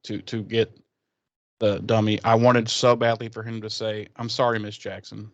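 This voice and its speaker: A man's voice: fairly low pitch, little pitch movement, moderate pace, no echo, dull audio. A man co-hosting a podcast with casual, sweary banter, often joking and putting words in others' mouths. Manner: jokey, sweary, mock-quoting. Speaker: podcast co-host.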